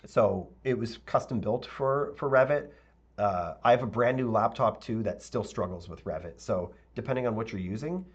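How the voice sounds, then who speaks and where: A man with a fairly low, slightly raspy voice walks viewers through his work on a live stream, chatting loosely and filling pauses with "um" and "uh." The audio is dull and lo-fi, with keyboard typing heard behind him. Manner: relaxed, rambling, thinks aloud with fillers. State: slightly raspy voice, clearing throat. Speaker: architect teaching live.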